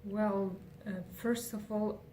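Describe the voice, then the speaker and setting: A young woman with a Kazakh accent speaks slowly and haltingly, pausing often on fillers as she searches for words. Her voice is mellow and soft. She sits a little back from the microphone, with faint noise behind her. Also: mid-range voice, level tone. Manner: hesitant, many fillers and restarts. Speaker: interviewee. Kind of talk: casual interview answers. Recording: faint noise, distant from mic.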